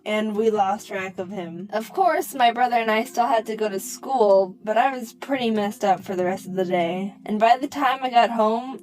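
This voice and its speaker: Young woman, higher-pitched voice, scripted cartoon storytelling with wry, casual delivery, music underneath. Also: rough recording. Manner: animated, wry comedic asides, casual slang. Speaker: animated storyteller, narrator.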